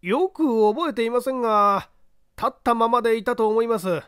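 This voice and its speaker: A man's voice: extremely high pitch, normal speed, fairly bright tone, smooth, no echo, studio quality, voice pushed up. A man voicing a polite, earnest works foreman explaining himself under questioning in a mystery story. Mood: earnest, deferential. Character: deferential male works foreman answering an interrogation.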